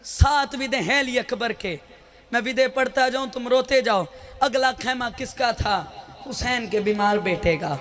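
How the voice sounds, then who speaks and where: A man reciting a mournful elegy in a wailing, sing-song chant, at times shouting. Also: very high-pitched. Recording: echoey hall.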